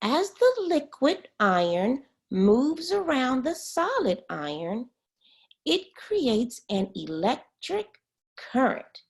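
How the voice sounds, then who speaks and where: A woman reading a children's science book aloud as a teacher, expressively and pausing to explain words. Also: high-pitched, sing-song, vocal fry.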